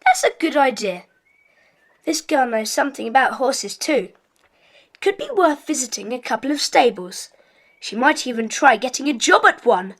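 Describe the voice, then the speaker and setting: A bossy but polite schoolboy club leader, high lively voice, kids audio play. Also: female voice, exaggerated voice. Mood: decisive, brisk. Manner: brisk, polite, take-charge. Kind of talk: children's audiobook dramatization.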